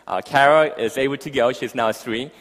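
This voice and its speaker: A man's voice: medium pitch, moderate pace, fairly bright tone. A middle-aged man with a foreign accent, a visiting pastor giving an informal, conversational sermon to a congregation. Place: large church hall, speaking to a congregation. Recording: echoey hall with long reverb.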